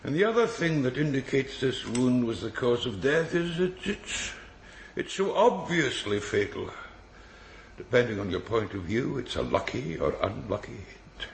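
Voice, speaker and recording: An actor playing a dry, pedantic forensic pathologist in a radio drama, speaking in a precise, deliberate, expository way. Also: male voice, medium pitch, very animated. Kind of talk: naturalistic radio drama acting. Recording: roomy echo, faint background noise.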